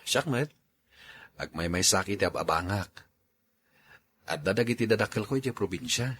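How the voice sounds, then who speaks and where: A man with an Ilocano accent playing a friendly, gentlemanly young bachelor in an audio drama, casual and coaxing. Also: fairly low pitch, animated, moderate pace, husky. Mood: courting. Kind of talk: radio drama acting in Ilocano.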